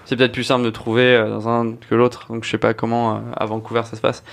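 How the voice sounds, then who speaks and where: Podcast host, man, average pitch, enthusiastic casual talker. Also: fast, creaky. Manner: lively, enthusiastic, slangy. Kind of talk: casual chat.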